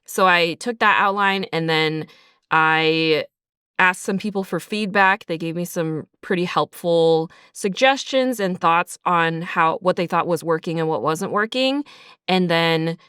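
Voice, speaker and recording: Woman, slightly low pitch, smooth resonant tone, conversational, explanatory, interview guest. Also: unhurried, a bit bright, no room sound, studio quality. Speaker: writer and creator of an audio drama. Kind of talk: casual interview answers.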